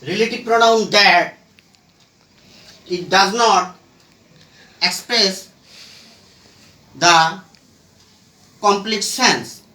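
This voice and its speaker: A teacher explaining English grammar step by step, in short halting phrases broken by pauses. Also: mid-range voice, sharp tone. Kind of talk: slow explanatory grammar lesson. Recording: roomy echo, distant microphone.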